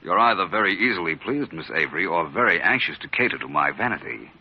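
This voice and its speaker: An actor playing a suave, witty private detective in an old-time radio drama, urbane and wry. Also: male voice, low-pitched, expressive, quick pace, husky, full character voice. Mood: wry, probing. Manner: dry, urbane, wry delivery. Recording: muffled old radio recording.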